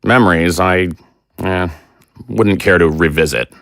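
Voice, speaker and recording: An actor playing a soft-spoken, hesitant middle-aged father in an audio drama. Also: sits low, unhurried, vocal fry, no room sound, full character voice. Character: gentle, evasive middle-aged father and tailor.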